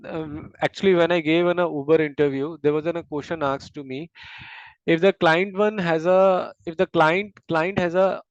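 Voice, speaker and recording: A man gives a technical explanation in a smooth, slightly high voice. He moves through it haltingly, repeating words and often checking for agreement. The sound is dry and a little muffled, like a lo-fi recording. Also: no echo. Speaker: instructor explaining system design. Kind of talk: technical lecture in a discussion, explaining step by step. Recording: dull, lo-fi close audio.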